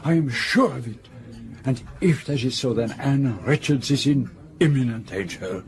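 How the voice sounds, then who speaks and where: An actor playing a courteous, theatrical older Belgian detective in a radio drama, with a French-speaking Belgian accent and formal, precise, deliberate speech. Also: male voice, medium pitch, animated, natural voice. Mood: urgent.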